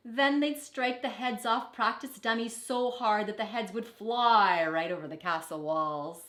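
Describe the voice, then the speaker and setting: A woman teacher reading a children's storybook aloud in an expressive, deliberate way, with brief teaching asides. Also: a little high. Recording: echoey, reverberant room.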